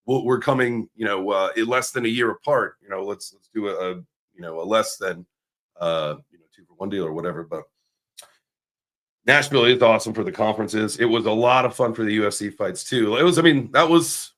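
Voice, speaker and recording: A man hosting a casual crypto livestream. His voice is raspy and hoarse, and he talks in upbeat hype with lots of filler words. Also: fairly low pitch, a little fast, fairly bright tone. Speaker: livestream host.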